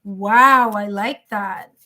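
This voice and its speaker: A woman's voice: medium pitch, very slow, sharp tone. A woman with a New York accent giving live tarot readings in a chatty, reassuring way, thinking aloud with fillers. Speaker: tarot reader.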